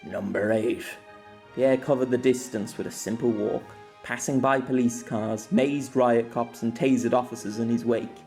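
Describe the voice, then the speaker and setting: A man narrating an audiobook in a steady, descriptive way. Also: medium pitch, moderate pace, few pauses, soft background music.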